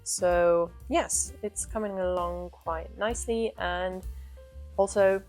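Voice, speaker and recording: Lady chatting about her knitting, slow and soft, a bit apologetic, low-ish voice. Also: fairly even intonation, faint music behind. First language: German. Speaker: knitting podcast host. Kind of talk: casual chat to camera.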